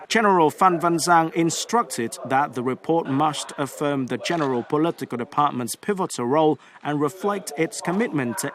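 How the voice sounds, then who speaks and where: Higher-pitched male news reader. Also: a bit bright. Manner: formal, measured reading. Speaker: news presenter. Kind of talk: scripted news narration.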